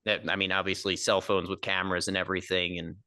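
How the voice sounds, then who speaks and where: A man's voice: deep voice, completely flat delivery, fast talker, sharp tone, no room sound, studio quality. A young man, a stand-up comedian, talking casually on a podcast in a nasal voice, rambling with lots of fillers. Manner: rambling, filler-heavy, laughs easily.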